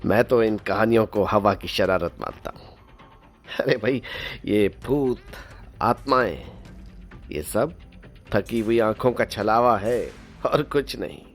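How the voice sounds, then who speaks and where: A man's voice: on the low side, lively intonation, slow pace, long pauses, soft background music. A man playing a sensible, bookish, dismissive skeptic in an audio drama, reasoning with a light smile in the voice. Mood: amused, dismissive. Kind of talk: dramatic radio-play acting.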